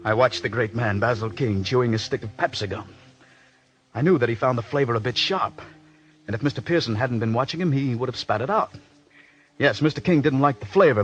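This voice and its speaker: An actor playing a calculating scriptwriter who narrates his own crime in a 1940s-style radio drama, measured and quietly polite. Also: medium pitch, lo-fi sound, slight background noise, lightly acted. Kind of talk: 1940s radio acting with first-person narration.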